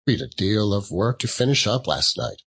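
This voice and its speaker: A man's voice: fairly low pitch, very animated, moderate pace, fairly bright tone, husky, full character voice. A man voicing a tired, dutiful young working girl in a storybook reading. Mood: apologetic.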